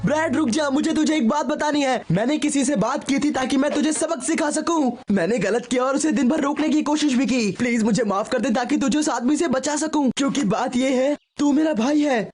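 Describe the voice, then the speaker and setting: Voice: sits high, brisk, few pauses, darkish tone, soft background music, lightly acted. An actor voicing an earnest, apologetic younger brother in a cartoon, pleading as he talks. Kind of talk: Hindi cartoon dub acting.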